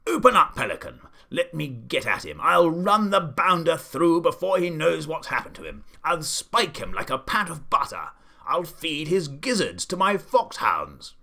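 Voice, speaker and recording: A man's voice: on the low side, huge pitch swings, moderate pace, pushed and bright, full character voice. A man voicing a hot-tempered old aristocratic duke who bellows pompous exclamations. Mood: blustering.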